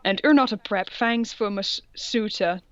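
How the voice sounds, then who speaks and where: A woman's voice: mid-range voice, measured pace, a bit bright, dry recording, dull audio. A woman reading aloud in a casual comic style, with sardonic, sweary asides and a theatrical touch. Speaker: co-host reading fan fiction aloud.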